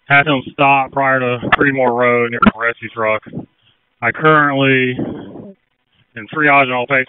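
A man's voice: medium pitch, clear, resonant tone. A man working in fire rescue, making direct, businesslike requests over two-way radio. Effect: radio transmission. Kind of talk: operational radio traffic. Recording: noisy, thin narrow-band two-way radio.

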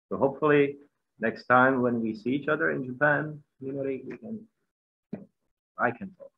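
A man's voice: medium pitch, measured pace, gravelly, long pauses, slight background noise. A middle-aged man with a Czech accent, a musician talking earnestly as a guest on a webinar video call, repeating words as he goes. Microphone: video call laptop mic. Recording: dull lo-fi video call audio.

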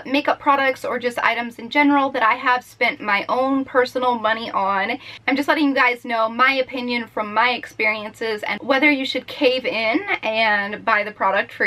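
A woman's voice: fairly high pitch, a bit bright. A woman in her twenties giving a casual, chatty makeup review to camera, informally addressing her viewers in a bedroom. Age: 20s. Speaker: beauty vlogger, product reviewer. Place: small bedroom, indoors.

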